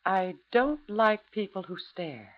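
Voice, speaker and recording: Ruthless female partner in crime, American, commanding, animated delivery, 1950s radio sound. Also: medium pitch, slow pace. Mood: icy, guarded. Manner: curt, commanding, composed. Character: cold, domineering, scheming woman partner in crime. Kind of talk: 1950s radio drama acting. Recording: dull, lo-fi 1950s radio recording.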